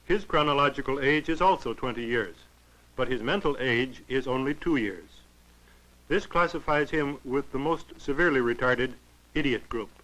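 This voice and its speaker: A man narrating a 1940s educational film in a formal, clinical, measured way. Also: medium pitch, a bit bright, rough recording.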